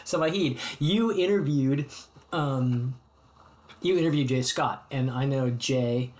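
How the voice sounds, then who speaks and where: A man's voice: medium pitch, slow, darkish tone. American guy rambling to his stream chat at home, lots of ums, friendly. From United States. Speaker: streamer host. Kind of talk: casual chat with live chat viewers. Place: home hobby room.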